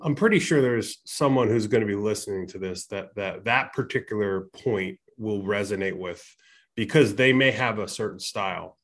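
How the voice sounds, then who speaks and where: A man's voice: fairly low pitch, normal speed, a bit bright. A male host interviewing a guest, with long, rambling setups before each question.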